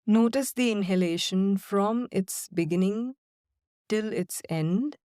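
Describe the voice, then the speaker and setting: A woman guiding a body-scan and breathing meditation in slow, calm, soothing instructions with long pauses. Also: mid-range voice, clean tone, no echo, studio quality. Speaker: meditation guide. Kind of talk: guided meditation.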